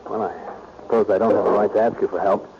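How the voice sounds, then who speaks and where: A man's voice: fairly low pitch, steady tone, quick pace, natural voice. An American actor playing an earnest, anxious young male attorney in a 1940s radio drama. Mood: pleading, earnest. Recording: muffled old radio recording, loud hiss.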